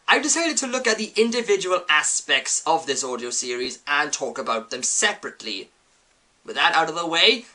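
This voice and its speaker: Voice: mid-range voice, pushed and bright. A reviewer giving a scripted, opinionated commentary with emphatic delivery. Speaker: host, reviewer.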